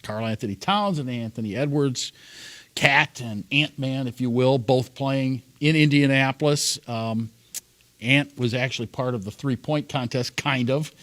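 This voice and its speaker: A man's voice: medium pitch, a little slow, a bit bright. Man, sports talk host, casual chat, laughs often, gets worked up.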